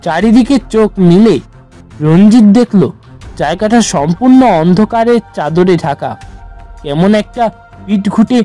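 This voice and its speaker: A man narrating a scripted horror story in a measured, suspenseful manner. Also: sits high, lively intonation, dark tone, soft background music.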